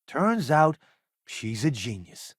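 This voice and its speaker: A man's voice: medium pitch, big pitch swings, slow, breathy edge, dry recording, clean studio sound, exaggerated voice. A man voicing a vain, wisecracking, cowardly food-columnist sidekick in a space video game, with a breezy, theatrical, boastful delivery. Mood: cocky, breezy. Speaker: companion character in a space game. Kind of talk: animated video game voice acting.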